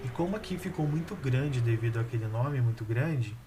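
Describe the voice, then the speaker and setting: A Brazilian man walks through code in a relaxed, halting way, at a mid pitch, with fillers between steps. Clear background noise and keyboard typing sit under his voice. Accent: Brazilian Portuguese. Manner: relaxed, hesitant explaining with fillers while typing. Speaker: programming instructor. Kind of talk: screen-recorded coding lesson.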